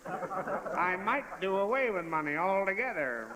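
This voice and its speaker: An actor playing a dim, folksy, boastful political candidate with ungrammatical speech in a 1930s radio comedy. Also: male voice, high-pitched, animated, a little slow, a crowd in the background. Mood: blustering. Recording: noisy, echoing 1930s radio broadcast.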